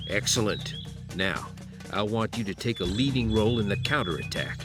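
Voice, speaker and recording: An actor playing a senior military commander in a game, giving orderly, authoritative briefings. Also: male voice, on the low side, animated, normal speed, natural voice. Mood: approving, commanding. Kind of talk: scripted military game dialogue. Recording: music behind the voice.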